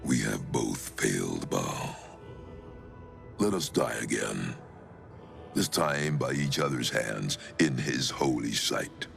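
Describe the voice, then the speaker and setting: A grim undead cult elder from a fantasy game, rumbling at the very bottom of the range. The voice is heavily gravelled and slow, pronouncing murderous doctrine in solemn, archaic phrases over faint music. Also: male voice, animated, a bit bright, full character voice. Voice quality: very deep, gravelly. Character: dread undead male cultist elder, grim, murderous zealot. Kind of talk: dramatic fantasy game acting. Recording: music behind the voice.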